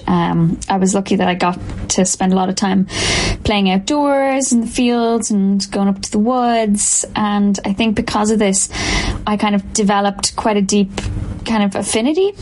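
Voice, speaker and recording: A woman's voice: mid-range voice, moderate pace, a little mellow, a little hiss or hum, rough recording. A woman from Dublin with an Irish accent, talking reflectively and earnestly about herself and her work as a curator. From Dublin, Ireland. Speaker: art curator, interviewee. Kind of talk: reflective first-person profile talk.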